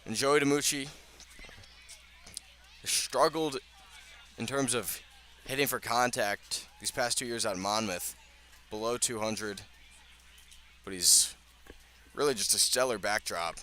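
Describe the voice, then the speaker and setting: Bright-voiced man calling baseball play-by-play. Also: mid-range voice, takes it slowly, long pauses. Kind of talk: live radio baseball commentary. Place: outdoors at a ballpark.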